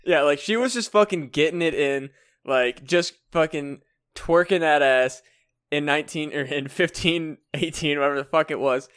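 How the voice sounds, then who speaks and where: A man's voice: on the high side, moderate pace, fairly bright tone, clear, resonant tone. A young man co-hosting a comedy podcast, rambling and swearing as he chats and laughs often. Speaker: podcast co-host. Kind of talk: casual comedic chat.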